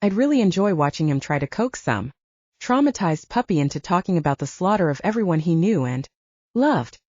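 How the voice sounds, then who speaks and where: Lively, low-pitched woman narrating fiction. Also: fairly quick, no echo, highs cut off. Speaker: audiobook narrator. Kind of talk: dramatic scripted fiction narration.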